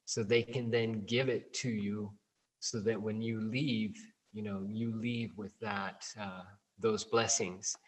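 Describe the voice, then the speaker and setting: A man who works as a plant medicine provider, answering interview questions in a relaxed way, full of ums and you-knows. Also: on the low side, slow, husky. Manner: relaxed, many fillers like um and you know. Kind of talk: interview answers over a call.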